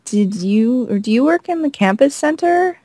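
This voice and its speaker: A woman co-hosts a gaming video with very lively, swinging intonation and a dry, close sound. She acts out dialogue playfully, with a teasing, giggly edge. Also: high-pitched, normal speed, no echo. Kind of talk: playful acted reading of visual novel dialogue.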